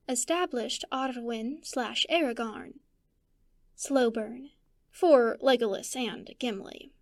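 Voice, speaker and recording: A woman reading fan fiction aloud as a podfic narrator, measured and deliberate with dramatic pauses. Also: high voice.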